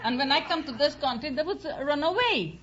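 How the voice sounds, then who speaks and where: A woman contestant with an Eastern European accent, chatting with a host in halting, broken English on an old radio show. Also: sits high, lively intonation, muffled recording. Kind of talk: casual banter with host. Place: radio studio with audience. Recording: very noisy old radio, loud audience.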